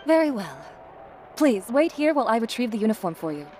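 An actress playing a deferential, chatty shopkeeper in a video game, polite and flattering, over faint music. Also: female voice, on the high side, a little fast. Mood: deferential, pleasant. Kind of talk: video game character acting.

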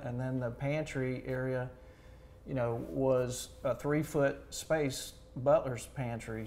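A middle-aged man with a Southern US accent talks easily about kitchen design, full of fillers and little restarts. His voice sits at a medium pitch. A faint hiss of room noise sits under his lapel mic in a big kitchen. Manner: relaxed, chatty, many fillers. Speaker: cabinet designer, guest. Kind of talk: casual on-camera interview. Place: large home kitchen, indoors.

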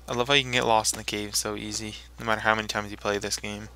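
A man's voice: lowish voice, level tone, fairly quick, sharp tone, slight background noise. A man hosting a gaming video, rambling casually and talking to himself as he plays. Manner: rambling, talks to himself while playing. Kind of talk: casual let's play commentary.

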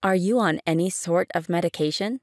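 A woman reading short English phrases for a language lesson, saying each one three times, slowly and clearly. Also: on the low side, dry recording, clean studio sound. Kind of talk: slow repeated phrase reading.

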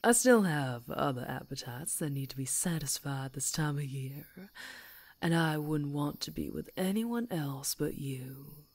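A woman playing a female werewolf in an intimate ASMR roleplay, predatory yet tender, coaxing in a breathy voice that often drops to whispers. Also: deep voice, lively intonation, a little slow, exaggerated voice. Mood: affectionate, playful.

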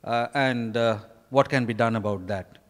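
A man in his fifties with an Indian accent gives a formal prepared speech in halting, deliberate short phrases. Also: medium pitch. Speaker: author addressing an event. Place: stage in a large hotel ballroom. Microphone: handheld microphone. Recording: reverberant hall, live stage microphone.

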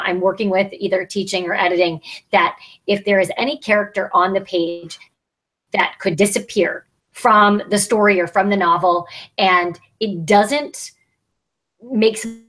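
A woman's voice: mid-range voice, fairly bright tone, rough recording. Female co-host, thirties to forties, mid-range voice, conversational teacher giving advice over a video call. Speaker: podcast co-host, writing teacher and editor. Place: small plain room, on a video call. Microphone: earbud headset on video call.

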